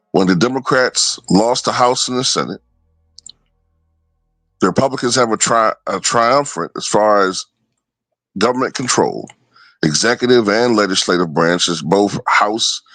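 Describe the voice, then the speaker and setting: A man's voice: lowish voice, animated, unhurried, pauses often, a bit bright, no room sound, soft background music. A man giving casual, opinionated commentary off the cuff, often checking in with the listener. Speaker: online political commentator.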